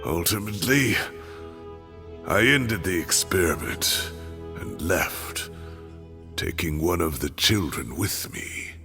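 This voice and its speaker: A tired scholar professor from a game, low creaky voice, takes his time, halting, music playing behind him. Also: lively intonation, bright, forceful tone, exaggerated voice. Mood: resigned. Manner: halting, measured, reflective storytelling. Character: weary, evasive scholarly male professor, middle-aged. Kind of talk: dramatic video game voice acting.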